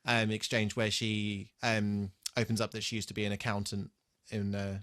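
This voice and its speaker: A British man co-hosting a relaxed TV re-watch podcast, talking in a hesitant, rambling, casually sweary way. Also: fairly low pitch, normal speed, no room sound, studio quality. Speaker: podcast co-host.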